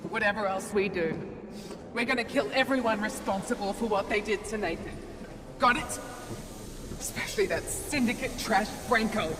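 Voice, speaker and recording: A woman's voice: mid-range voice, moderate pace, long reverb tail, natural voice. An actress playing a fierce woman fighter out for revenge in a video game, hard and defiant, recorded at a distance amid noise. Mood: bitter, determined. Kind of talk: dramatic video game cutscene acting. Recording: noisy, distant from the mic.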